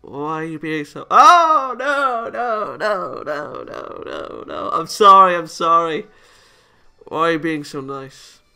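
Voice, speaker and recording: A man's voice: fairly high pitch, big pitch swings, takes it slowly, vocal fry, bright, forceful tone, clear, resonant tone. A man reading a visual novel aloud as a let's player, stumbling over lines and reacting playfully with casual asides. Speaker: video game let's player.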